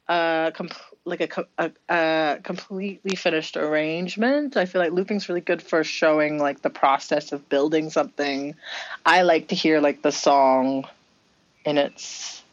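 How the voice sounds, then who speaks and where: A woman musician talking about her song in a casual interview, rambling and laughing, with a low voice. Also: measured pace, no room sound, muffled recording. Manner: giggly, rambling with many fillers and restarts. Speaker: musician guest.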